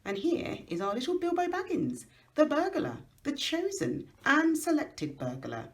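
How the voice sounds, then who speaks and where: A woman's voice: high voice, wildly swooping pitch, measured pace, voice pushed up, heavily acted. A woman voicing a commanding old male wizard, wry and knowing, with emphatic, declamatory delivery in a storybook reading.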